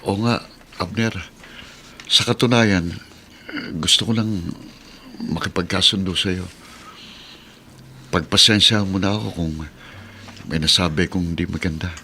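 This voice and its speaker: An actor playing a sincere male employee, earnest, measured and respectful, in a radio drama. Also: low voice, sharp tone, a little hiss or hum, full character voice. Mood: conciliatory. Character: sincere male employee, once resentful, now forgiving. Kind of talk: Tagalog radio drama acting. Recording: radio drama with music bed.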